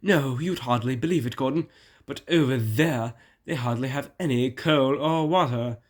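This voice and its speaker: A man's voice: medium pitch, normal speed, close to an everyday voice. A man plays a celebrated express locomotive from a storybook. He sounds genial and self-assured, a proud but friendly engine. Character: Flying Scotsman, famous friendly male express engine.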